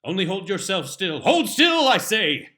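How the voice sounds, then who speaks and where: A man's voice: sits high, wildly swooping pitch, a little slow, pushed and bright, voice pushed up, full character voice. A man performing a comic reading as a stern, exasperated father who proclaims household laws in pompous, solemn, mock-scriptural style. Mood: exasperated, thundering. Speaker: reader performing a humorous essay.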